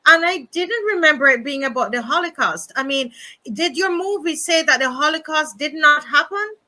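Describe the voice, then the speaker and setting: Woman host asking pointed follow-ups, high voice, really sharp and bright. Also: animated, moderate pace. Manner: probing follow-up questions, recapping for viewers. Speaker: interview host. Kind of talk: interviewing a guest.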